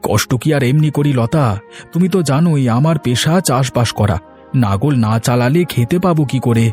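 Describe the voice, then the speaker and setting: A man voicing a hardworking, hurried village farmer in a cartoon, urgent and insistent. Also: mid-range voice, a little mellow, soft background music, close to an everyday voice. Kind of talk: Bengali cartoon moral story acting.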